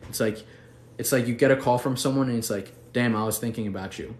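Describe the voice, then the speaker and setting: A man's voice: mid-range voice, quick pace, a little mellow. A young man from Mexico City chatting casually on a livestream, laid-back, slangy and affectionate as he answers viewers. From Mexico City, Mexico, later the US. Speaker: livestream host. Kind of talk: casual livestream chat reading viewer comments. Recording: echoey room, faint background noise.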